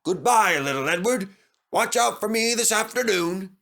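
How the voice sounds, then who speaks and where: A man's voice: high-pitched, lively intonation, moderate pace, vocal fry, fairly bright tone, pitched above the performer's own voice, exaggerated voice. A man voicing a big, proud steam engine who boasts and grumbles, in a children's storybook reading. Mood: boastful.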